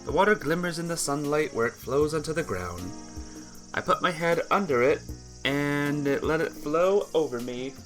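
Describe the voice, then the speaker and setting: A playthrough host reading a visual novel aloud as expressive, dramatic scripted narration. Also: medium pitch, moderate pace, soft background music. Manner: dramatic reading, acts out dialogue.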